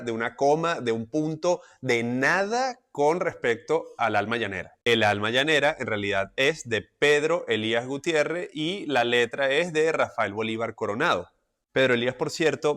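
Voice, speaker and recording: A young man calmly explaining music licensing as a lesson, clear and methodical with quick asides. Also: mid-range voice. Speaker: music industry educator.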